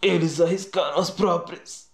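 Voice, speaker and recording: Homem fazendo policial ferido, voz meio aguda. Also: male voice, fairly high pitch, steady tone, moderate pace, vocal fry. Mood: strained, urgent. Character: wounded, selfless male police officer. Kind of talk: fan-dubbed horror game acting. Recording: echoey amateur dub.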